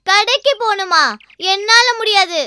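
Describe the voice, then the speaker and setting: A woman's voice: very high-pitched, unhurried, pushed and bright, no room sound, exaggerated voice. An actress voicing a cheeky, stubborn young girl in a children's cartoon, with a whiny, demanding, argumentative delivery. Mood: whiny, protesting. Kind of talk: children's animated series dubbing.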